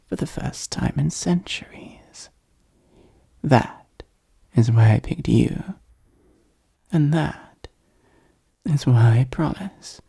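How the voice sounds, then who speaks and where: Breathy, whispering possessive demon played by a performer. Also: medium pitch, warm tone, heavily acted. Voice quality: breathy, husky, much of it whispered. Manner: slow, formal, deliberate, intimate. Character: possessive demon ruler of hell, softly devoted. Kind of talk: ASMR roleplay monologue.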